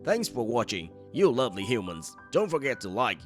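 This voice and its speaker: Male host, animated delivery, jokey scripted recap, talking to viewers, music underneath. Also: mid-range voice, a little fast. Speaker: video host.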